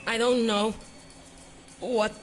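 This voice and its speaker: A woman's voice: fairly high pitch, little pitch movement, slow, a little hiss or hum. An actress playing a puzzled, tech-savvy young Spanish-speaking woman in an audio drama.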